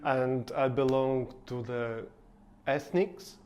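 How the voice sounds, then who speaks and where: A man from Latvia whose first language is Russian, speaking English with a Russian accent in a relaxed podcast chat, pausing and restarting as he searches for words. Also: mid-range voice. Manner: searching for words, restarts, halting non-native phrasing. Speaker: podcast co-host. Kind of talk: casual conversation.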